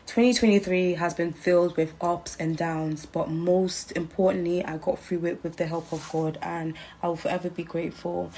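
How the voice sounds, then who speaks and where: A woman sends in a short recorded message of thanks, earnest and repetitive. Her voice is on the low side and stays flat, with little rise or fall. A faint hiss sits under it. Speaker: guest sending a message.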